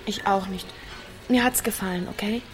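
A woman's voice: mid-range voice, moderate pace, faint music behind, lightly acted, low-quality recording. A woman acts a teenage village girl in a naturalistic radio play. She is wary and blunt, answering in short, dry phrases. A shy affection sits under the guardedness. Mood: shyly affectionate. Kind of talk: naturalistic radio drama dialogue.

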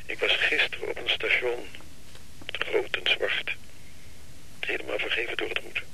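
An actor playing a troubled, evasive man who haltingly and wearily pleads for time in a radio drama. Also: medium pitch, level tone, vocal fry, sharp tone, whispered, full character voice. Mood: evasive, urgent. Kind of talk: Dutch radio drama acting. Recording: noisy radio play recording, telephone scene.

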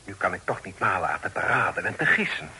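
An actor playing a grave middle-aged father and magistrate in a radio play, with a husky voice and solemn, measured, formal delivery. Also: sits low, sing-song, sharp tone, slight background noise, exaggerated voice. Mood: grave, probing. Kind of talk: Dutch radio play acting.

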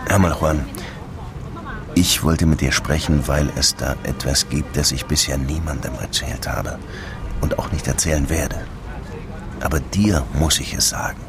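A brooding middle-aged detective in a radio play. The voice is extremely deep, weary and confiding, moving between narration and dialogue, with music behind it. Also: male voice, steady tone, a little slow. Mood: earnest, confiding. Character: brooding, world-weary male police detective in Havana. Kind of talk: radio drama dialogue and first-person narration.

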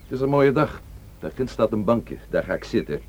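An actor playing an unflappable police inspector in a radio drama, asking measured, dryly teasing questions. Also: male voice, mid-range voice, lively intonation, a little mellow, close to an everyday voice. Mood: calm, insistent.

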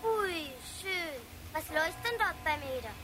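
An actor voicing a mischievous young boy in a radio play, chattering quickly. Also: very high-pitched, expressive, sharp tone, faint music behind, exaggerated voice. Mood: curious.

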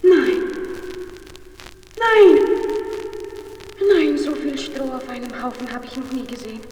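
A timid young miller's daughter, high-voiced and slow, speaks in meek, plaintive, halting phrases. It is a fairy-tale radio play on a noisy, crackly recording. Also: female voice, expressive, a little mellow. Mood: pleading.

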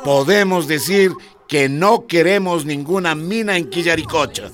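An actor playing an earnest village schoolteacher in a radio drama, didactic and deliberate as he rallies his neighbours. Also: fairly high pitch, fairly bright tone, faint music behind. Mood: resolute.

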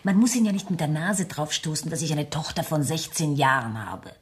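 An actress in a German radio play voicing a vain, self-important middle-aged chorus singer with an affected manner. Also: female voice, low voice, expressive, brisk. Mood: vain, fretful. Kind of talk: German radio drama acting.

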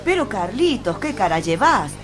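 A woman's voice: medium pitch, sing-song, moderate pace, a crowd in the background, close to an everyday voice. A woman playing a practical, chummy Latin American market friend in a radio soap.

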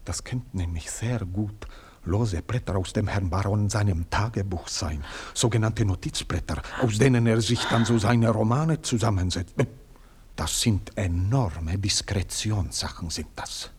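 A man's voice: lowish voice, a little slow, husky. An actor playing a meddling, servile male house servant who speaks in long-winded, fawning phrases. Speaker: servant Theodor. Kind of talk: radio play acting.

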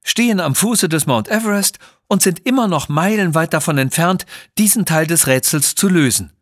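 A man's voice: a little high, expressive, moderate pace, a bit bright, voice pushed up. A man voicing an impatient, adventurous woman explorer in an audio story. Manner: impatient, exclaiming.

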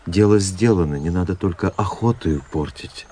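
An actor playing a young, self-willed aristocratic officer in a radio drama, theatrical and persuasive, on a noisy recording. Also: male voice, sits low, normal speed, mellow, slightly rough. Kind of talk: Russian radio drama acting.

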